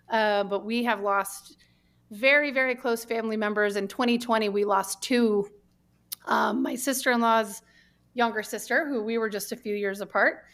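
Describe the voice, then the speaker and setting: A woman's voice: medium pitch, fairly bright tone. An American woman from New Mexico with a mid-range voice speaks as a podcast guest. She tells her story candidly and earnestly. She often halts and repeats words for emphasis as she goes. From New Mexico, United States. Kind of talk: candid personal storytelling in conversation.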